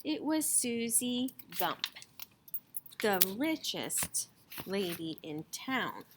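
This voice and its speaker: A woman reading a children's story aloud as an expressive storyteller. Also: a little high, extremely slow, background noise.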